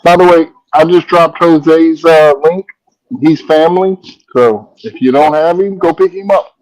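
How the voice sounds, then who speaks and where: Slightly high-voiced man, quick offhand chat. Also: a little mellow, poor audio. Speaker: fellow streamer guest. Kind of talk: casual video chat.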